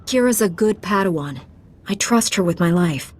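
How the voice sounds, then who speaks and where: A woman's voice: lowish voice, animated, moderate pace, breathy edge. A woman acting a composed, dutiful Jedi Knight and mentor in a video game, speaking earnestly and formally.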